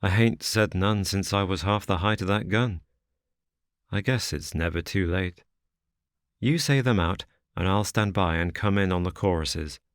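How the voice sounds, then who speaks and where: A man's voice: sits low, unhurried, no room sound, studio quality. A man doing a weary hardened frontiersman. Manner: rough frontier talk.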